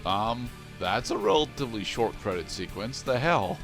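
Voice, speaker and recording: A man co-commentating on a game in a measured, hesitant manner, often pausing to find his words. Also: medium pitch, animated, fairly bright tone, soft background music. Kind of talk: casual game commentary chat.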